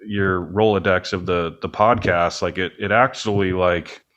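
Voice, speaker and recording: Low-voiced man chatting casually. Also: fairly even intonation, unhurried, fairly bright tone, lo-fi sound. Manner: rambling, hedging, self-effacing. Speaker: podcast guest, band musician and studio owner. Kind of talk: casual interview chat.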